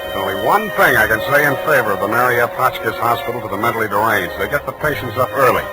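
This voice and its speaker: A man acts out a hard-boiled private detective in a 1940s radio drama. He is wry and deadpan, with a mid-pitched voice and a wisecracking way of narrating. Music is clearly heard on the old broadcast recording. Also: quick pace, lightly acted, rough recording. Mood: wry, weary. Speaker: lead actor and narrator.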